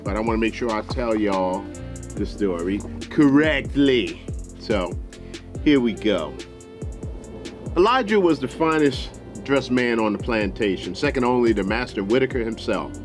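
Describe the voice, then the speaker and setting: A low-voiced American man tells a story to his audience. His delivery is deliberate and folksy, with a dramatic storyteller's touch. Faint music plays behind him. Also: animated. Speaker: storyteller, show host.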